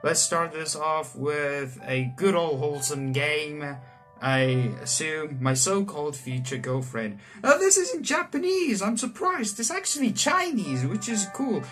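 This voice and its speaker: A man in his late teens hosting a let's play, reading visual novel text aloud and breaking into animated asides. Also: a little high, measured pace, soft background music. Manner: animated asides, exclaiming between read passages. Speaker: gaming channel host. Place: small room indoors at home.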